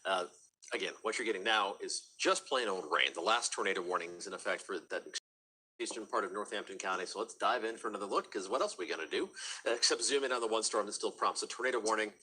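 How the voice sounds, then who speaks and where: A man's voice: fairly low pitch, fast talker, bright, forceful tone, husky, poor audio. A man working as a TV meteorologist, giving live severe weather commentary in a conversational, explanatory way.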